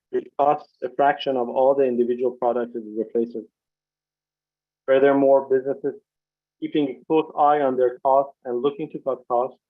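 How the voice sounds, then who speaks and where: A man reading prepared financial remarks as a company executive, formal and measured, on a video conference call. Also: medium pitch. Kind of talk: scripted corporate earnings presentation. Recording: dull, lo-fi conference call audio.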